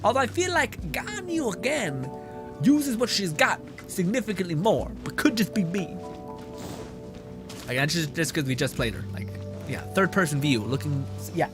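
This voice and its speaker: A man's voice: sits high, very animated, normal speed. A young man streaming a game, wired on caffeine, rambling and joking with a loose, profane manner. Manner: rambling, joking, swears freely. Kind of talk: casual gameplay commentary. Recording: live stream with game music loud behind.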